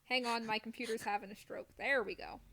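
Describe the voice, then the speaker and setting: A woman's voice: a little high, steady tone, brisk, fairly bright tone, slight background noise. A woman chatting casually while playing a game on stream, playful and self-deprecating with silly exclamations. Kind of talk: casual gameplay chat.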